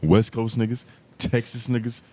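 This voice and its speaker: A man's voice: medium pitch, steady tone, moderate pace, mellow. Blunt, slangy man debating on a podcast. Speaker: podcast panelist.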